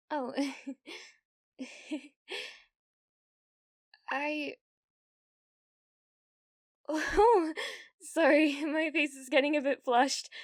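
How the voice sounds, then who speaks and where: A British woman playing a needy, awkward young gamer in an ASMR roleplay, with a halting, nervous manner. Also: sits high, no room sound, clean studio sound, exaggerated voice. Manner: halting, flustered, breathy laughs and hesitations.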